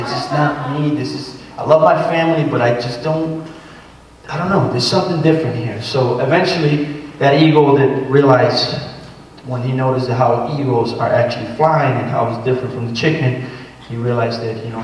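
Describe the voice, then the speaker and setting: A man from Puerto Rico talks earnestly to a training group as a guest speaker, moving around the room. He is heard from a distance in a large echoey hall with long reverb, over a faint background hiss. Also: mid-range voice. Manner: personal, earnest, walks around while talking. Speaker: guest speaker on workforce development. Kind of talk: informal talk to a training group. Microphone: room mic from a distance.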